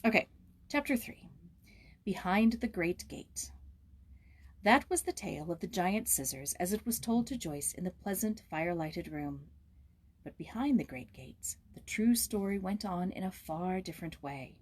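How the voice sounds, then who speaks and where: A woman's voice: fairly low pitch, expressive, normal speed. A woman reading a storybook aloud as host, with casual asides.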